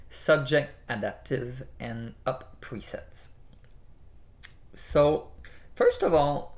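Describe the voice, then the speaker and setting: A man's voice: medium pitch, a little mellow. A man with a French accent, a native French speaker, walking viewers through photo editing presets in a tutorial, with frequent "you know" fillers. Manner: unhurried, explains step by step with many "you know" fillers. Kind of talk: screen-recorded software tutorial.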